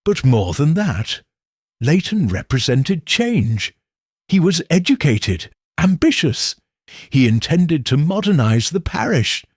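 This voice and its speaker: Man reading a cool middle-aged detective, husky, slow and deliberate, lively pitch, dry sound. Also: no echo, exaggerated voice. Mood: explaining. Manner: measured, coldly certain, deliberate. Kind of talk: audiobook character reading.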